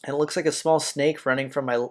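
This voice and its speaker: A man's voice: on the high side, flat delivery, fast talker. A man hosting a let's-play, reading a visual novel's narration aloud. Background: game music.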